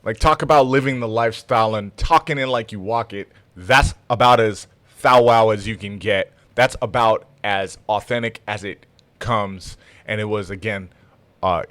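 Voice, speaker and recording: A man's voice: fairly low pitch, a bit bright, no room sound. A man from Toronto hosting a podcast in a casual, conversational interview style. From Toronto, Canada.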